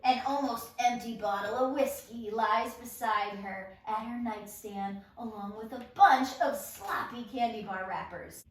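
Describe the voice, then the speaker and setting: A woman reading stage directions at a table read in a lively, theatrical narrating style, acting out sound effects. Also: fairly high pitch, unhurried, hardly any pauses. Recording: echoey room, distant mic, music behind.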